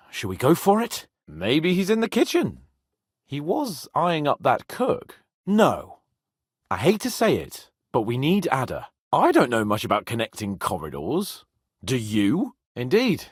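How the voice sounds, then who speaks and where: A young medieval lord from a video game, swaggering and quick to temper, yet a loyal friend. His voice sits fairly high and swings freely up and down, with a slightly husky edge. He teases and boasts as he goes. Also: no room sound, studio quality, exaggerated voice. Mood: uneasy, subdued. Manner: swaggering, teasing, colourful swearing. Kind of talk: video game character acting.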